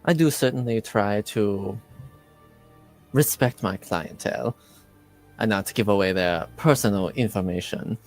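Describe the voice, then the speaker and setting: A man playing a courteous, discreet perfume shopkeeper with polite, measured, formal phrasing in a tabletop roleplaying game. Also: on the low side, soft background music, natural voice.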